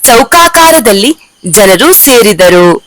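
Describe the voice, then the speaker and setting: A woman reads a children's cartoon story aloud, unhurried and deliberate. Her voice sits fairly high and the recording is dry and close, with each line spaced out slowly. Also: big pitch swings, fairly bright tone, no echo, rough recording. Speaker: narrator. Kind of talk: scripted storybook narration.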